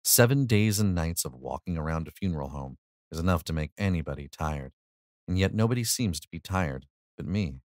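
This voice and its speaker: A man's voice: low-pitched, lively intonation, brisk, darkish tone, no echo, clean studio sound. A man narrating a scripted short story as an audio reading.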